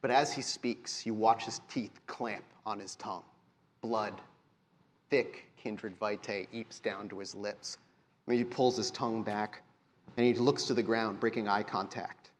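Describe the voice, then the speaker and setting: A man game-mastering a live tabletop game, narrating in deliberate, dramatic phrases. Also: fairly low pitch, steady tone, a little hiss or hum. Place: live convention stage show.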